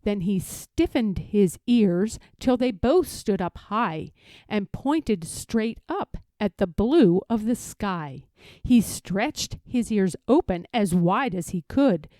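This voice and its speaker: A woman reading a rhyming children's story aloud in an expressive narrating style. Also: medium pitch, slow, no room sound. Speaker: storybook reader.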